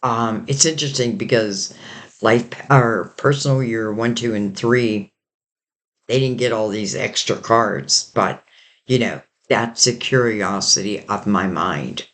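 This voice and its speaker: A man giving a rambling, casual tarot reading while losing his voice and sounding hoarse. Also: on the low side, a little slow, fairly bright tone. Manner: rambling, loose and intuitive, many "like" and "you know". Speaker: tarot reader.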